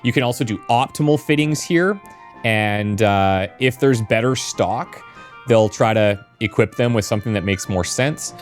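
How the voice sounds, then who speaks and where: A man's voice: lowish voice, normal speed. A man giving casual let's play commentary as a video game streamer. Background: game music.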